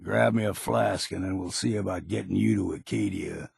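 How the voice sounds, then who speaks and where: An actor playing a gruff, cynical old wilderness guide in a video game, speaking in a gravelly, husky voice with a curt, folksy manner. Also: male voice, sits low, fairly even intonation, normal speed, darkish tone, no room sound, clean studio sound, exaggerated voice. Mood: gruff, dismissive. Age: older. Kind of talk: video game character dialogue.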